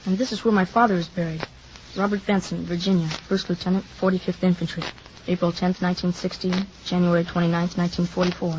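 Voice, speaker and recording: A woman's voice: lowish voice, level tone, quick pace, a little mellow, natural voice. A woman playing an eager, chatty, naive young American boy with frank, slangy talk, in an old-time radio drama. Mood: matter-of-fact. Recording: dull, noisy old radio recording.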